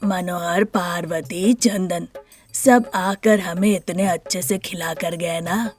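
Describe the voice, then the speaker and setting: A woman voicing a stern older male head of a family in a story, speaking slowly and deliberately, with music behind. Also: on the low side, steady tone, breathy edge. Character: gruff older family patriarch, stern and measured.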